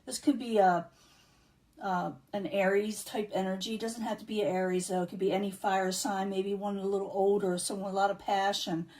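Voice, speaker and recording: A woman reading tarot cards in a casual, chatty way, losing her voice and clearing her throat now and then. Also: medium pitch, little pitch movement, a little fast, a little mellow, distant mic. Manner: chatty, repeats herself, many um fillers. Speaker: tarot reader.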